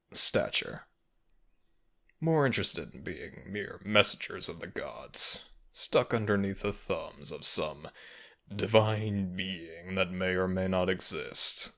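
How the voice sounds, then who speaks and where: A man voicing a hungry, mocking male kitsune villain in a fantasy ASMR roleplay, with a gravelly voice and savoring, formal, sinister phrasing. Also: bright, forceful tone, full character voice. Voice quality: very deep, gravelly.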